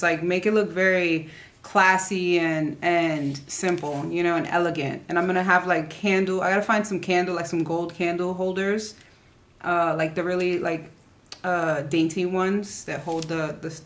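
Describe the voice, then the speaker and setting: A young adult woman chatting casually to camera as a vlogger, informal and rambling, often trailing off mid-thought. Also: fairly low pitch. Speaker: vlogger showing a shopping haul. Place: living room at home, indoors.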